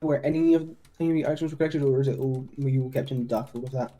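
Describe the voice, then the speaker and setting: A man's voice: mid-range voice, fairly even intonation, racing, dark tone, close to an everyday voice. A man playing a polite, methodical police detective in an improvised online roleplay, with a procedural, questioning manner.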